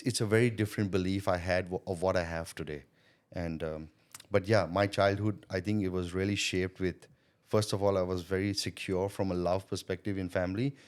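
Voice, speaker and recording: A middle-aged man from Thailand, a podcast guest, telling his story in a reflective, chatty way, often checking in with "you know" and "right?". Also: deep voice. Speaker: podcast guest, sales consultant. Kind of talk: casual interview storytelling.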